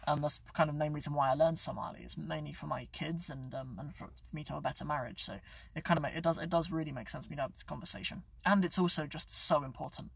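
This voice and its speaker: Guy, young adult, rambling live-stream host, fairly high voice, dry car recording. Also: steady tone, fast, vocal fry, husky, dry recording, far from the mic. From England. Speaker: YouTube channel host. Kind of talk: casual live-stream chat. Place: inside his parked car.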